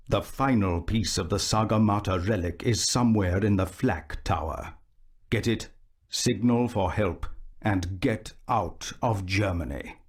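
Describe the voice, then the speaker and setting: A grim video game announcer who briefs the player on character dossiers and missions. His voice sits a little low, and he takes each line at an unhurried, heavy pace. The tone stays grave and ominous throughout. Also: breathy edge. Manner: slow, grave, ominous delivery. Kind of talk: scripted game narration.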